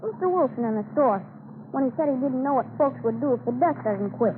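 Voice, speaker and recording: A woman's voice: high voice, expressive, a little fast. A woman playing a curious, earnest young farm boy who tries to be brave, in an old-time radio drama. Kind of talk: 1940s radio drama acting. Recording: scratchy tinny old radio recording.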